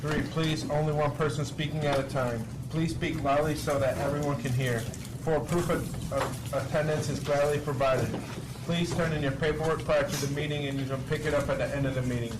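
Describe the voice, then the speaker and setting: A man's voice: a little high, fairly even intonation, brisk, few pauses. A man reading meeting guidelines aloud with small stumbles. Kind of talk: reading a list of rules aloud. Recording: noisy, distant mic.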